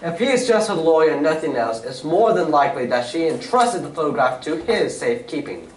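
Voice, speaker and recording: A man playing a brisk, commanding gentleman detective eager on the chase in a staged radio drama. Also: on the high side, animated, natural voice. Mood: urgent, calculating. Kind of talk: old-time radio drama reading on stage. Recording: noisy echoey stage, far from mic.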